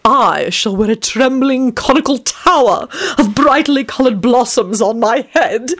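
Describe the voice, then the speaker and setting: A woman's voice: on the high side, a little slow, fairly bright tone, voice pushed up. A woman voicing a flamboyant, theatrical male vampire who shrieks and speaks in grand, old-fashioned phrases. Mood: rapturous.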